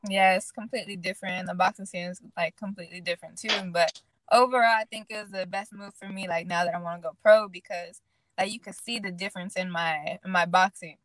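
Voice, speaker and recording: A woman's voice: on the low side, a little fast, rough recording. Young woman boxer, casual and chatty. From Illinois, USA. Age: young adult. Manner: relaxed, fills speech with "like". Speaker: guest, pro boxer. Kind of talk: casual interview chat.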